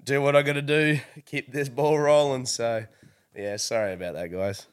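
A man's voice: mid-range voice, steady tone, normal speed, smooth. A man hosting a podcast in casual chat, congested from a cold, friendly and rambling with fillers. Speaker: podcast host.

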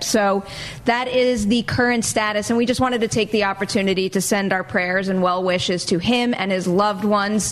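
A woman hosting a movie news panel show, presenting entertainment news to her co-hosts. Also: mid-range voice.

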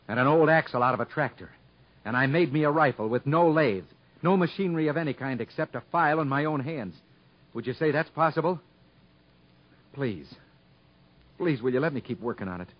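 An actor playing a stubborn, plain-spoken convict gunsmith with a rural American accent in a 1950s radio drama. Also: male voice, medium pitch, animated, fairly quick, natural voice. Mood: pleading, insistent. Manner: folksy, ungrammatical, earnest speech. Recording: muffled vintage 1950s radio recording.

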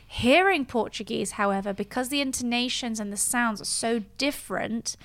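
A woman's voice: a little high, moderate pace, a bit bright, dry recording. A young woman chatting casually and telling personal stories in a playful, candid way. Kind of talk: casual chat, personal anecdotes.

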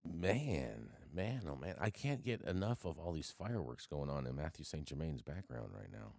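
A very deep, gravelly man hosts a laid-back online news show, joking with his co-hosts. His pitch swings widely as he teases them, and the sound is dry and close. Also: very animated, moderate pace, a little mellow, no echo. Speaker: show host. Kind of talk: casual news show banter.

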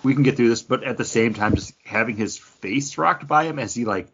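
A man's voice: mid-range voice, a little fast, fairly bright tone, slight background noise. A man co-hosting a podcast, bantering and reviewing in a casual, conversational way. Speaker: podcast co-host. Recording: dull lo-fi sound.

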